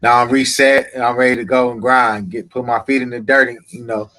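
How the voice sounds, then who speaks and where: A man's voice: medium pitch, level tone, fairly quick, sharp tone. Laid-back man co-hosting a podcast. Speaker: podcast co-host. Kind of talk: casual chat.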